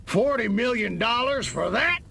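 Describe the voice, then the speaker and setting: Game role: stubborn, scornful general, very high-pitched for a male yet gritty, defensive and proud. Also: lively intonation, a little slow, vocal fry, sharp tone, breathy edge, exaggerated voice. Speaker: army general. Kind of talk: video game cutscene acting.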